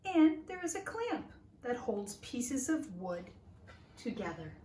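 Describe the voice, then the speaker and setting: Woman reading a picture book to little kids, really slow, bit far from the mic. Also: a little high, big pitch swings, dark tone. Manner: deliberate, simple explanatory phrasing for small children. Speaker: teacher reading a picture book to young children. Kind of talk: children's story read-aloud. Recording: roomy echo, distant mic, faint noise.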